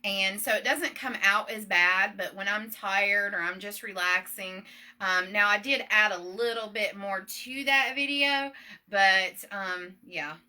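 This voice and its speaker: A middle-aged woman with a Southern US accent, a shop owner talking loudly and quickly through a casual live product demonstration, with many ums and okays. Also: medium pitch. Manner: loud, fast and chatty, with many ums and okays.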